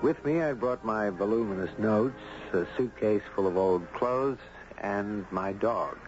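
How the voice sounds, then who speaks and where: Actor as low-voiced reflective storyteller. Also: male voice, unhurried, lightly acted. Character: reflective male anthropologist recounting a strange tale. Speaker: radio drama actor, narrating character. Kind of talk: 1970s radio drama acting, first-person narration. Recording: dull old radio recording with music.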